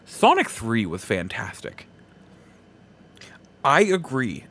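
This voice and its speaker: A man hosting a solo podcast, giving casual commentary in a halting, rambling way and repeating himself for emphasis. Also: mid-range voice, huge pitch swings, a bit bright. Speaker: podcast host.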